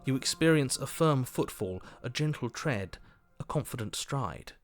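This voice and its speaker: A man acting as the stern, irritable narrator of a dark fairytale horror game, speaking in a deliberate, literary way. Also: mid-range voice, lively intonation, faint music behind. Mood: ominous. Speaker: narrator of a horror visual novel. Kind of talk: scripted narration.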